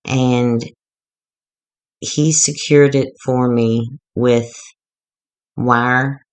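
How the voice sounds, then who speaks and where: A woman going hoarse as she gives a rambling, chatty, self-deprecating walkthrough of a craft project. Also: very deep voice, fairly even intonation, extremely slow. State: getting hoarse. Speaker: craft tutorial maker.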